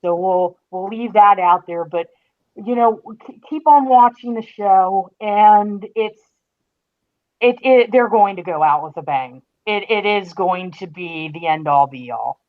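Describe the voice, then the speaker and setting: A woman's voice: medium pitch, unhurried. Enthusiastic woman, slightly dull lo-fi audio. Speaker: podcast guest, entertainment writer. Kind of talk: casual chat.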